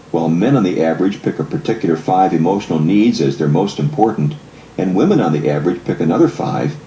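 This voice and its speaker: Deep-voiced man narrating in a roomy space. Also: warm tone. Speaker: marriage counselor and author narrating. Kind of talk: audiobook self-help narration, read from a script. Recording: roomy echo, faint background noise.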